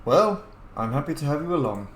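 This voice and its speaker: A man's voice: on the high side, sing-song, a little fast. A man narrating his roleplay playthrough of a game in a casual, informal, musing way. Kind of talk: casual game commentary and in-character dialogue reading. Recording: roomy echo, faint background noise.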